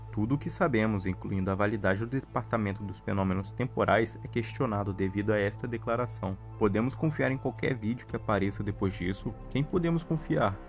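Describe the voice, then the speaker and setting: Brazilian man narrating over music. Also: lowish voice. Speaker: video essay narrator. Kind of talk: scripted documentary-style narration.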